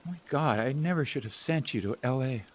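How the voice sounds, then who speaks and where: A man's voice: mid-range voice, lively intonation, a little fast, close to an everyday voice. A man acting a frustrated, caring family-man brother in an audio drama, blunt and emphatic. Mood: weary. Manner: blunt, emphatic, swinging between exasperated and hushed.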